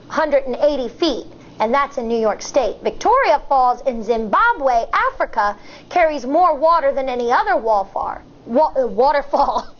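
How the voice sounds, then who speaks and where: A woman, an elementary school teacher, reading a nonfiction picture book aloud to young children in a deliberate, explanatory way. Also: sits high. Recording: dull, muffled lo-fi sound.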